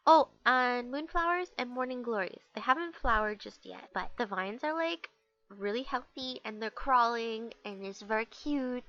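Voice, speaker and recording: A woman's voice: a little high, pushed and bright. A young woman with a stuffed-up, nasal voice, chatting casually and rambling with lots of fillers while she draws. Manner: bubbly, rambling, many fillers. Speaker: artist chatting while drawing. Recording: dull lo-fi recording.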